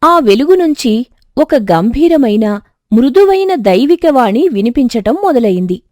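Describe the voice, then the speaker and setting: A woman's voice: high-pitched, big pitch swings, unhurried, warm tone, dry recording, studio quality. A woman narrating a scripted moral story as a storyteller.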